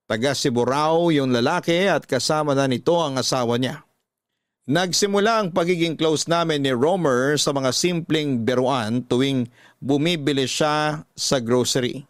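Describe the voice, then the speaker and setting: A man's voice: medium pitch, moderate pace, dry recording, clean studio sound. A man with a Filipino accent narrating a scripted first-person story as host of a radio drama.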